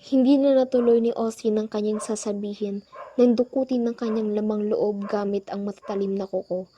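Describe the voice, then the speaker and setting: A woman's voice: medium pitch, fairly even intonation, moderate pace, warm tone, lo-fi sound, a little hiss or hum, natural voice. A young woman playing the narrator who recounts a frightening island trip in a scripted radio drama. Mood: uneasy storytelling. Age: young adult.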